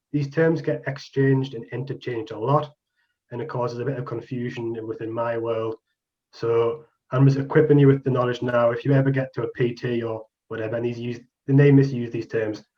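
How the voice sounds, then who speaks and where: A young man from Newcastle with a Geordie accent, casually presenting a lecture over a video call with frequent ums. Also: medium pitch. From Newcastle, England. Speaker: exercise researcher presenting. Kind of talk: online presentation. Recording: muffled video call audio.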